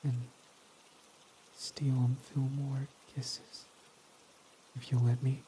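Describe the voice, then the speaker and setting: A once-hostile villain, now tender, voiced by a young man in an intimate roleplay. His mellow, dark-toned voice sits at a middling pitch and often slips into a whisper. Rain patters faintly behind him. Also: level tone, drawn-out words, slight background noise. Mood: soft, affectionate. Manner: soft-spoken, often dropping to a whisper. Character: guarded young male villain, softened by love. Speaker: ASMR roleplay voice actor. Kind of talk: ASMR romantic roleplay.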